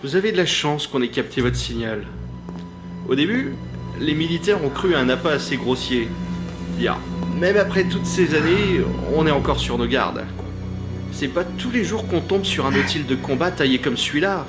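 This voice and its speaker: Man playing a calm doctor, echoey room. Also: fairly high pitch, normal speed, lightly acted. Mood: matter-of-fact. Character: calm, courteous male doctor at an underwater colony hospital. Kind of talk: French audio drama acting. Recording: roomy echo, music behind.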